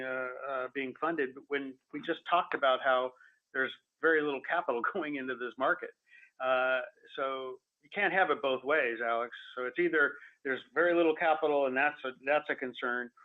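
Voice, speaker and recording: A man answering analyst questions as a company executive on a call, speaking off the cuff in a halting, stammering way. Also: medium pitch. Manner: halting, stammering, heavy hesitation. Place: telephone conference call. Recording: muffled narrow phone-line audio.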